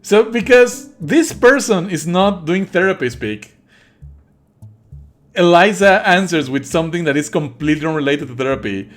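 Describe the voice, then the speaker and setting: A man's voice: high-pitched, expressive, normal speed, soft background music. A man whose native language is Spanish, giving sardonic, exasperated live commentary as he streams a game. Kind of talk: live let's play commentary.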